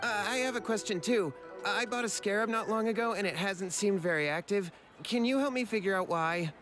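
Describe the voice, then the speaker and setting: A man's voice: high voice, fairly quick, a bit bright, faint music behind. A man voicing an earnest, inquisitive young townsman in a game.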